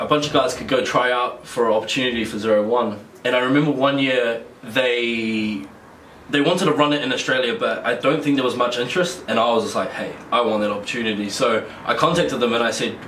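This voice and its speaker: A young man with a New Zealand accent chats as a guest, laughing easily and circling back through restarts. His voice is pitched middling for a man. He sounds distant from the mic in an echoey room with faint noise behind. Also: brisk, fairly bright tone. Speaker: guest wrestler. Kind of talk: casual interview chat.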